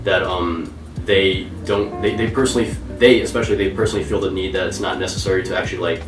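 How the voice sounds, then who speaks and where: A man's voice: sits low, steady tone, echoey room, recorded from a distance, music in the background. Hesitant teenage boy interviewing in a studio. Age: teens. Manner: halting, many fillers and restarts. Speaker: student interviewer. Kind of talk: school project interview. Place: recording studio.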